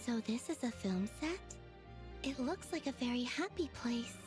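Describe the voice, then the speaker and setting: A woman voicing a serene, wistful, otherworldly young woman in an anime game, speaking in a gentle, musing way. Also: fairly high pitch, animated, normal speed, natural voice. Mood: wistful, curious. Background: music.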